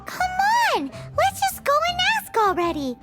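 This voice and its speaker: A woman voicing a tiny, cheeky fairy companion in a video game, childlike and squeaky, with an exuberant way of talking. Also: huge pitch swings, moderate pace, full character voice. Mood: eager. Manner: exuberant, speaks of herself in third person. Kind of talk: animated video game voice acting. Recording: background music.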